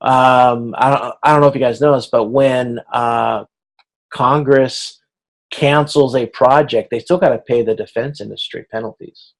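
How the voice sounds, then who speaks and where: Halting man teaching an informal online tutorial. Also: mid-range voice, fairly even intonation. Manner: halting, self-correcting, thinks aloud; checks that listeners follow. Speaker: instructor. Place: online video conference.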